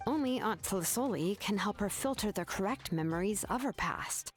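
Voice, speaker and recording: Give the game's young researcher played by an actress a medium-pitched female voice. She is composed and principled. She lays out her reasoning in measured, articulate sentences with quiet firmness. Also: animated, soft background music, close to an everyday voice. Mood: serious, reasoning. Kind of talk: scripted game dialogue.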